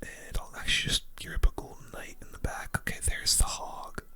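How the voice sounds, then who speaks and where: This is a gaming host who speaks entirely in a whisper, close to the mic. The sound is bright and crisp on top, with a relaxed delivery suited to ASMR game commentary. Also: a little fast.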